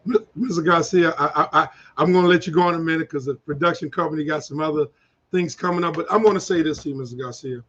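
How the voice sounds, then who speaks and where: A man hosting a talk show, praising his guest with warm repetition and stumbling restarts. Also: a little high, quick pace, highs cut off. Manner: effusive, repeats words, praising guest. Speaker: show host. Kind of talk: casual interview chat.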